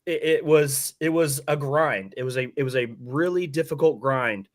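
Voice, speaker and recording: A hoarse-voiced man hosting a sports podcast, breaking down a game casually and candidly with some mild swearing. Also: a little high, normal speed.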